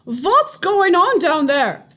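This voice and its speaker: A woman voicing an imperious, furious female witch leader who shouts slow, booming commands. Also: natural voice. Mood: scornful.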